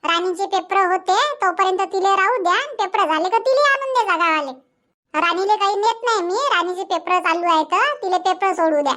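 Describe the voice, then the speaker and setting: A woman's voice: very high-pitched, fairly quick, bright, forceful tone. A woman voicing a stubborn, grumbling young village mother in a cartoon comedy, chattering emphatically with a Vidarbha Marathi accent. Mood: insistent.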